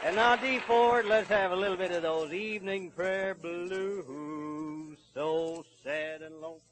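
A man's voice: sits high, unhurried, a little hiss or hum. A man hosting a 1930s country music radio show in an informal, folksy way. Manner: informal, folksy, calling out to performers. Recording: old 1930s radio broadcast with loud music. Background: country string music.

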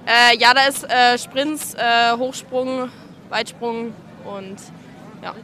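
A woman's voice: fairly high pitch, slow, slight background noise. A nineteen-year-old woman from Munich answering a street interview, hesitant and full of fillers. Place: shopping street at night, outdoors. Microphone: handheld mic held by interviewer. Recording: street with loud music behind.

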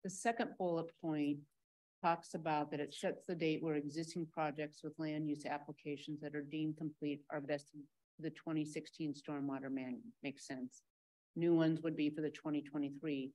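A woman's voice: deep voice, level tone, moderate pace, warm tone, low-quality recording. A councilmember, a woman, asking staff questions in a direct, conversational way, thinking aloud as she goes.

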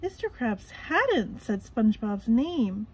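A woman's voice: fairly high pitch, sing-song, takes it slowly, breathy edge, rough recording. A woman narrating a scripted children's story as a reader, expressively and with dramatic emphasis.

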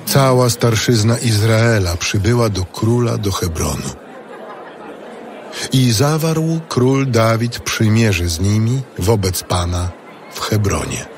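Male scripture narrator: fairly low, unhurried, solemn. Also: lively intonation, some chatter behind, slight background noise. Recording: music bed behind.

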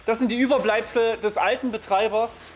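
A man's voice: high-pitched, steady tone, moderate pace, soft background music. A man guiding a walkthrough of a large indoor hall, explaining as he walks with hesitant fillers, recorded on a handheld camera. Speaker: field guide on a walkthrough. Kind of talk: casual walking tour chat.

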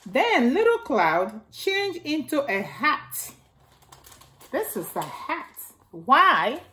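A middle-aged woman reads a picture book aloud for very young children. Her voice sits a little high and swings widely in pitch. She takes her time, phrasing simply and repeating key words. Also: sing-song, extremely slow, bright, forceful tone. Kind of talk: read-aloud storytelling for small children. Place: indoors in a home, beside a staircase.

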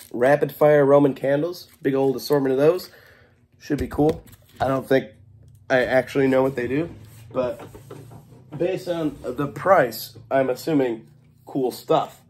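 A man's voice: medium pitch, a little slow, long pauses, a little mellow. A young man with a congested, coughing voice, speaking in a laid-back, profane way. He talks to a camera set on a table in a small home kitchen, with faint noise in the background. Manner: laid-back, swears freely, scolds his cat. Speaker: fireworks vlogger. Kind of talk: casual unboxing vlog.

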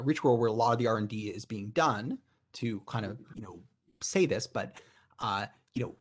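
A man's voice: on the low side, slightly rough. A middle-aged man, a keynote speaker, answering conference questions over a video call from a room at home, thoughtful with frequent restarts and fillers. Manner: thoughtful, many restarts and "you know" fillers.

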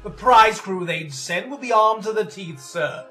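A man acts a gentlemanly young-officer type for an audio drama, a keen and polite lieutenant who loves showing what he knows. His voice is high for a man, with faint music behind it. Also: animated, measured pace, exaggerated voice. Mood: keen, alarmed. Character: eager, gentlemanly lieutenant, obsessed with cannon.

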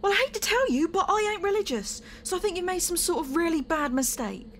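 A woman's voice: extremely high pitch, lively intonation, fairly quick, a little hiss or hum. A woman playing a defiant, feisty captive in an audio drama, sarcastic and slangy.

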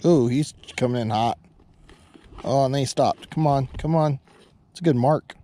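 A young man filming himself ice fishing outdoors on a frozen lake, chatting excitedly to viewers about each fish. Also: mid-range voice. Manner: excited, chatty, quick to laugh. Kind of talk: casual play-by-play to viewers. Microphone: head-mounted action camera.

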